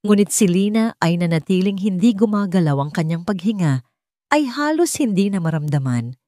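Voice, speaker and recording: A woman's voice: fairly low pitch, expressive, normal speed, mellow, no room sound, clean studio sound. A woman with a Filipino accent reading a scripted dramatic story as an audiobook narrator.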